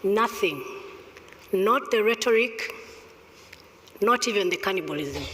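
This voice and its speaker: Panelist from Rwanda, emphatic, deliberate, repeats for emphasis, middle pitch, long hall reverb. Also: a bit bright, a little hiss or hum. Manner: emphatic, deliberate, stresses points with repetition. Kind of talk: panel discussion answer.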